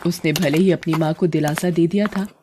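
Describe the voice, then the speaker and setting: A woman's voice: deep voice, steady tone, a little fast, noisy background. A woman narrating a scripted story as storyteller.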